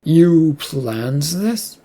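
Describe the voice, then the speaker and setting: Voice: medium pitch, big pitch swings, drawn-out words, warm tone, exaggerated voice. A narrator voicing a stern, accusing male company boss, insistent and blunt, in an audio story.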